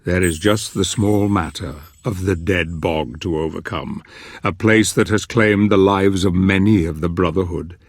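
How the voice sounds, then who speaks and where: An actor playing a grave, brooding storyteller who narrates a dark fantasy game in a deliberate, dramatic way, with a husky voice. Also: male voice, low voice, fairly even intonation, no room sound. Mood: grave, ominous. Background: music.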